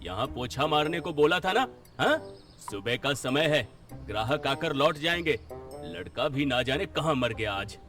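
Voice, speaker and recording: A man's voice: mid-range voice, expressive, unhurried, music in the background, close to an everyday voice. A man playing a grumpy, miserly roadside-eatery boss in a cartoon, scolding and sarcastic in an exaggerated comic way. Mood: angry, scolding.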